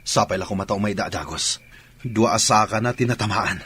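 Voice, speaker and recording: An actor playing a dutiful, worried soldier who is respectful and earnest in an Ilocano radio drama. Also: male voice, on the low side, fairly quick, a bit bright, husky. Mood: concerned.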